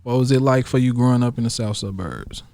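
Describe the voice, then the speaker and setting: A man's voice: mid-range voice, quick pace, creaky, mellow. A man co-hosting a radio podcast, asking the guest questions in a laid-back, slangy way. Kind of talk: casual interview questions.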